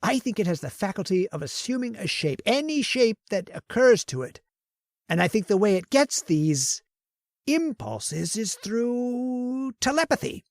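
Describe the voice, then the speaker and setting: A woman's voice: mid-range voice, big pitch swings, a little slow, darkish tone, no echo, studio quality. A woman playing an obsessive, arrogant male scientist who bosses his assistant around and sneers at him. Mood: subdued. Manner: bossy and domineering, gloats and sneers. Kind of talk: old-time radio horror acting.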